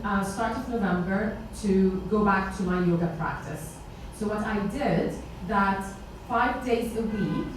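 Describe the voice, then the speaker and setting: A woman on a panel giving conversational, practical advice to an audience member. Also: mid-range voice. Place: indoor meetup event space with seated audience. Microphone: far camera. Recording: very echoey room, distant microphone, noisy background.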